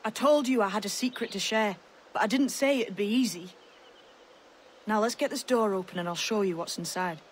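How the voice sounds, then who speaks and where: High-voiced young pirate acted by a man. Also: fairly quick, lightly acted. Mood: secretive, assured. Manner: plain-spoken, colloquial. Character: young scrappy pirate with secrets, teasing and earnest. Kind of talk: video game cutscene dialogue. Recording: noisy outdoor ambience behind.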